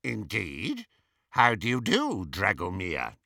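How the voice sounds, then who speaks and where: A man voicing a snobbish, suspicious male dark wizard in an audiobook, formal and disdainful, with a pompous drawl. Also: lowish voice, wildly swooping pitch, slow pace, vocal fry, no room sound, clean studio sound, full character voice. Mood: suspicious, curious. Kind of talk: audiobook character voice.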